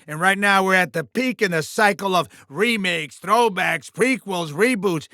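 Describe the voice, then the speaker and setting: A flamboyant alien TV-mogul villain from a video game, pitched high with a gravelly edge. He is bombastic and theatrical, buttering people up with phony affection while menace shows underneath. The sound is sharp, forceful and very close and dry. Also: measured pace, no echo, heavily acted. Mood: gushing, flattering. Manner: bombastic, fake-friendly flattery sliding into menace. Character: scheming, egomaniacal alien TV producer villain, flamboyant showman.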